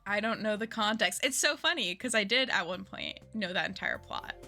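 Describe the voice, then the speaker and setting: Young woman streamer, bubbly and self-mocking, lively swooping delivery, a bit phlegmy from a cold. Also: mid-range voice, moderate pace, creaky, soft background music. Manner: chatty, giggly, self-deprecating. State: slightly phlegmy, lingering cough. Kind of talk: casual stream chat.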